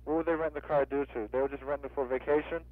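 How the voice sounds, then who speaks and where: A man's voice: mid-range voice, steady tone, very fast, no room sound. A man working as a rental agent on a customer service phone call, insistent and questioning, often repeating himself. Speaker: car rental office agent. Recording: tinny, narrow-band phone line.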